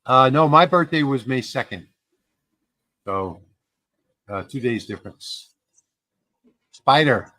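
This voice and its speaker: A man's voice: medium pitch, animated, slow, pauses often, pushed and bright, dry recording. A man in his fifties hosting a casual livestream, talking in a rambling way with fillers and restarts. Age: 50s. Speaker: livestream host.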